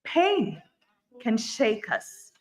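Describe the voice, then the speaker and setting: A woman delivering motivational sayings in an inspirational, deliberate way. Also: fairly high pitch, wildly swooping pitch. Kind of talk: motivational quotes.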